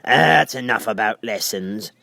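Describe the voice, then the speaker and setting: A man's voice: on the low side, lively intonation, a little slow, creaky, pushed and bright, no room sound, heavily acted. A man voicing a brusque, scolding gryphon beast in a storybook reading, blunt, impatient and bossy. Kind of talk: storybook character voice.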